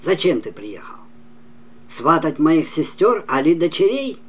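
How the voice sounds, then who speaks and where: A man's voice: on the high side, big pitch swings, a little slow, a bit bright, voice pushed up, exaggerated voice. An older man voicing a menacing, haughty dragon monster from a folk tale, scornful and declamatory. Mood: challenging. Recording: clearly noisy home recording.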